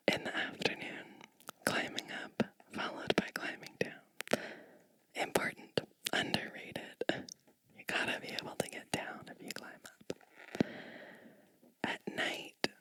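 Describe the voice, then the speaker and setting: A woman whispers a storybook in a soft ASMR style. Her breathy delivery is slow and gentle, with a crisp, bright edge and pauses between short phrases. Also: dry recording, rough recording. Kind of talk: whispered ASMR storybook reading with chatty asides.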